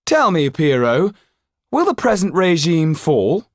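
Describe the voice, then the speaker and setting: A man voicing a provocative, inquisitive society gentleman with polished, insistent speech. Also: fairly high pitch, sing-song, unhurried, no echo, studio quality, voice pushed up. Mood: challenging.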